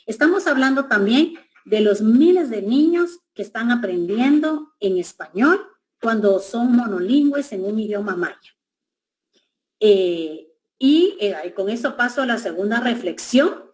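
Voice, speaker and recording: A woman's voice: a little high, animated, low-quality recording. Woman with a Guatemalan accent, reflective. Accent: Guatemalan Spanish. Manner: measured, reflective, many hesitations. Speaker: education specialist speaker. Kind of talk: educational presentation.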